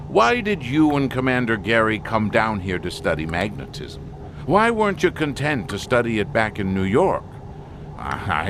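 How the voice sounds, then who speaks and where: An actor playing an insistent, argumentative biologist in an audio drama, lecturing precisely and emphatically. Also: male voice, medium pitch, background noise. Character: insistent, argumentative male expedition biologist defending his specimen.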